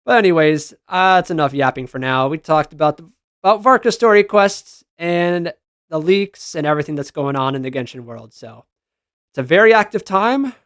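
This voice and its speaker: A man's voice: on the high side, expressive, moderate pace, a bit bright, clear, resonant tone, no echo, clean studio sound. A man giving casual, slangy reaction commentary as a gaming content creator, responding aloud to what he reads.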